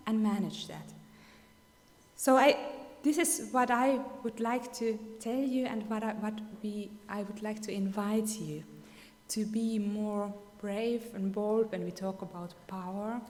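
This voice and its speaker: A woman's voice: medium pitch, darkish tone. A woman from Finland with a Finnish accent giving an earnest, emphatic keynote speech, often restarting her sentences. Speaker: keynote speaker. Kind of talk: keynote speech at an award ceremony. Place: ceremony hall. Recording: long hall reverb.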